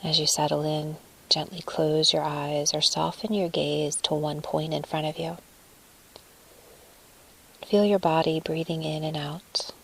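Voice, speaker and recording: A woman with a low voice guides a meditation. Her delivery is soft, breathy and soothing, with very little melodic movement. She places each phrase deliberately and leaves room between them. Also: level tone, rough recording.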